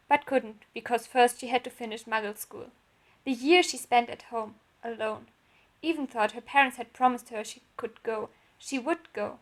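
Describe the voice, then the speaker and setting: A woman reading her own written story aloud as a narrator, in an audiobook style. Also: fairly high pitch.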